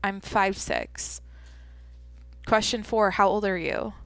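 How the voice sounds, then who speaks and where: A woman's voice: medium pitch, steady tone, slow pace, fairly bright tone, no room sound. Young woman podcast host, twenties, from the Pacific Northwest; rambly, candid, swears freely, close and dry sound. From Washington State, USA. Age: 20s. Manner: rambling, filler-heavy, candid and profane. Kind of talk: casual solo Q&A podcast.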